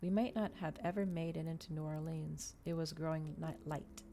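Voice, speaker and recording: Low, mellow woman reading ASMR fiction. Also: fairly even intonation, normal speed, slight background noise. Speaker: streamer reading a novel aloud. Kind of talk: ASMR storybook narration.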